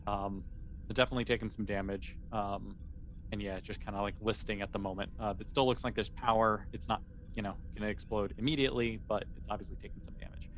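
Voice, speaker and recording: A man's voice: lowish voice, fairly even intonation, quick pace, a bit bright, slight background noise. A man narrating an improvised tabletop game as game master in a conversational, explanatory way.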